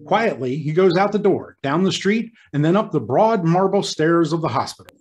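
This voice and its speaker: Grand, animated male radio drama narrator. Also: on the high side, normal speed. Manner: grand, theatrical delivery. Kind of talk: dramatic scripted old-time radio narration.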